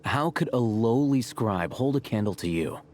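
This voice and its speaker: A man voicing a smug, unflappable young scribe in a video game, deadpan and sardonic. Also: mid-range voice, normal speed, slight background noise, close to an everyday voice. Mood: dry, mocking. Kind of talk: video game character dialogue.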